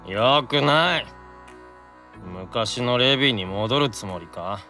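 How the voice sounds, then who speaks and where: An actor playing a tipsy, affectionate young demon brother in a Japanese audio drama, earnest and insistent with childlike drunken slurring. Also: male voice, mid-range voice, animated, takes it slowly, bright, forceful tone, faint music behind, full character voice. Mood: earnest, concerned.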